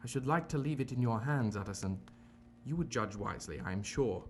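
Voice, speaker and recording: A man acting a shaken, remorseful gentleman doctor in an audio drama, speaking formally and earnestly. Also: mid-range voice, animated, fairly quick, slight background noise, lightly acted. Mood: troubled, earnest.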